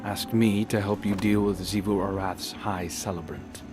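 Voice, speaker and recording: A man voicing a brooding, earnest hunter in a video game, speaking in a measured, subdued, wry way. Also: lowish voice, fairly even intonation, over music, natural voice. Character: brooding, earnest male hunter with lost memory. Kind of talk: video game cutscene acting.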